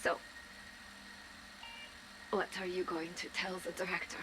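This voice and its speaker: An actress playing a determined young woman with powers, speaking softly and often half-whispered. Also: on the low side, monotone, unhurried, background noise, close to an everyday voice.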